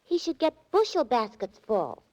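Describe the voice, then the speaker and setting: An actress playing a naive, chatty young secretary, guileless and literal, in a 1940s radio drama. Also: female voice, high voice, big pitch swings, moderate pace, mellow, highs cut off. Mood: eager, admiring.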